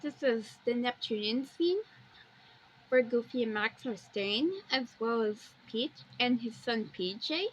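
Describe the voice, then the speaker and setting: A woman with a high-pitched voice hosts a homemade walkthrough in a casual way. She describes what she sees in plain terms and drifts into her own little asides. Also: measured pace, slight background noise, low-quality recording. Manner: plainly describes pictures, wandering into personal asides. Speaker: amateur video host.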